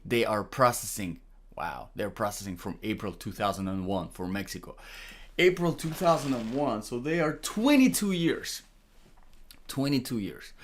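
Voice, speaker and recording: This is a man with a medium-pitched voice, answering audience questions as a host. He talks off the cuff in an explanatory way. His delivery is halting, and he often doubles back on words and phrases to stress them. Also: a bit bright. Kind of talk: unscripted explainer, answering comments.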